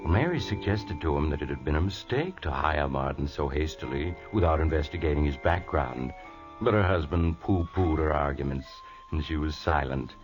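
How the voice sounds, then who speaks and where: A man narrating a radio drama with an exceptionally deep voice, solemn and ominous. The sound is dull and lo-fi, like a vintage broadcast, with music playing clearly beneath him.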